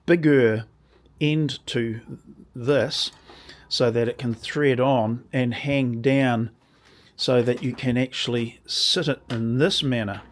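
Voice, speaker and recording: A man with a slightly croaky voice, casually demonstrating a workshop project and often restarting his sentences as he explains. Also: medium pitch. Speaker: woodworking channel host.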